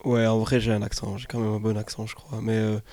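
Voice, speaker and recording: A man from the Jura with a Jura Swiss French accent, answering a street interview in a relaxed, plain way. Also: lowish voice, a little fast, no room sound. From Jura, Switzerland.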